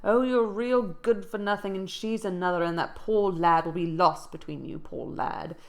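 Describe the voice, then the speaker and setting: A woman's voice: mid-range voice, fairly even intonation, normal speed, lightly acted. A woman voicing a grumbling, pious old manservant who scolds and complains. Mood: scornful.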